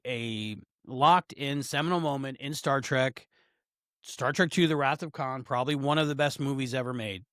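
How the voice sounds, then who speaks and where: A man's voice: on the high side, a bit bright, no room sound, clean studio sound. A man co-hosting a casual podcast chat, hesitant, often repeating words and giving strong opinions. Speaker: podcast co-host.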